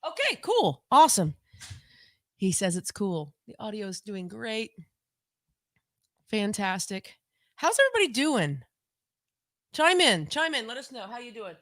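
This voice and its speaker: A woman co-hosting a casual home livestream podcast on a desk mic, talking in a rambling, chatty way and repeating herself, her voice lower than usual today. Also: very animated, unhurried, pauses often, a bit bright, no room sound, clean studio sound. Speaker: podcast co-host.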